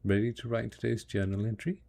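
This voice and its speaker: A man's voice: fairly low pitch, level tone, brisk, gravelly, mellow. A man playing a devoted post-apocalyptic husband in an intimate audio drama, speaking tenderly and patiently as he coaxes his monstrous spouse. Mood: contented, affectionate. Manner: tender, coaxing, patient, full of pet names.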